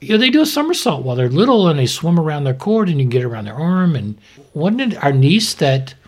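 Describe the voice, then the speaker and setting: Husky older man explaining medicine casually. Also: on the high side, big pitch swings, fast. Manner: conversational, explains medical matters in plain words. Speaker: podcast co-host and fetal medicine doctor. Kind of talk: casual explanatory podcast talk.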